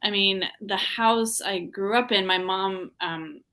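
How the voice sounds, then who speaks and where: A woman's voice: mid-range voice, slow pace, sharp tone. Reflective woman artist, many ums. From Washington, D.C. area, USA. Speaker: artist guest. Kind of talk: casual interview.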